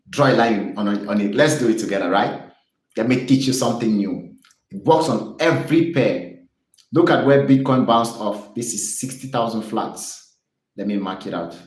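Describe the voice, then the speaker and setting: Chatty Cameroonian trader in an echoey room. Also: male voice, mid-range voice. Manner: upbeat, repetitive, chatty with viewers. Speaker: trading streamer. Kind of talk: live trading stream, chart lesson.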